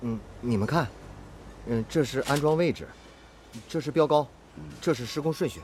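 A man's voice: mid-range voice, normal speed, a little mellow, slight background noise, natural voice. A man acting a modest young factory apprentice in a radio drama, earnest and eager with a hesitant manner.

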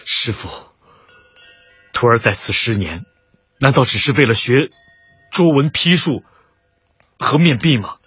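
An actor playing an earnest, intense martial arts disciple bent on revenge in a radio drama, with a husky, breathy voice. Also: male voice, medium pitch, animated, measured pace, pauses often, a bit bright, no echo, lo-fi sound, soft background music, heavily acted. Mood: earnest, puzzled. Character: earnest male kung fu disciple, obsessed with revenge. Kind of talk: Chinese radio drama acting.

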